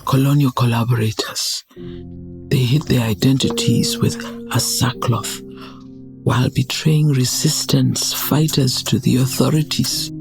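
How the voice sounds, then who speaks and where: A man playing a wise, solemn old community leader in a motion comic drama, measured and reflective. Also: medium pitch, vocal fry. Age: older.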